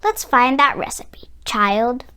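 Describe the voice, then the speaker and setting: Woman playing a high, lively old farm grandmother. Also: slow, clear, resonant tone, heavily acted. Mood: eager. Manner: folksy, coaxing, emphatic. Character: brisk, reassuring old Russian-born farm grandmother.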